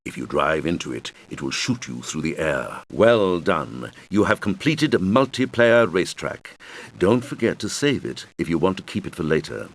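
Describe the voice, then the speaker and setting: A man's voice: deep voice, very animated, normal speed, full character voice. A man voicing a helpful video game guide who gives instructions to young players. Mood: encouraging. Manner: instructional, clearly addressed to children.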